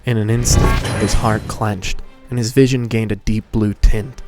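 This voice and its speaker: Man, fan fiction host, casual narrator, fairly low voice, light music behind. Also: fairly even intonation, moderate pace.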